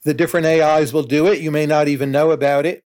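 A man in his sixties, a professor and guest, giving measured, confident opinions in an interview. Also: fairly high pitch, little pitch movement, a little mellow. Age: 60s. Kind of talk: conversational interview.

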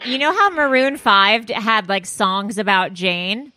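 A woman's voice: medium pitch, slow, music in the background. A woman co-hosting a podcast, talking in casual banter. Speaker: podcast co-host.

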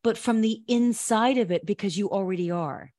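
A woman hosting a podcast interview, listening attentively and answering with soft affirmations and short, curious questions. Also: mid-range voice, normal speed. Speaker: podcast host. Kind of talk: interview conversation.

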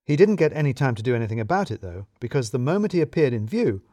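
A man's voice: mid-range voice, sing-song, fast talker, dark tone, dry recording, studio quality. A man narrating a scripted first-person comic story in a wry, self-deprecating manner.

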